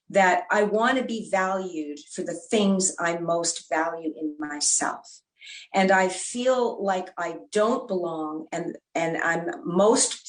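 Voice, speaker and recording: A woman's voice: fairly low pitch, rough recording. A woman speaking earnestly as a panel speaker, thinking aloud in long, flowing sentences. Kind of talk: reflective panel talk.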